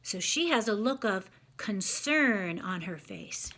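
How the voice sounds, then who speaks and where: A woman's voice: mid-range voice, sing-song, takes it slowly, a bit bright, a little hiss or hum. A woman reading a picture book aloud to children, expressively, stopping to ask them simple questions. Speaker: children's read-aloud storyteller.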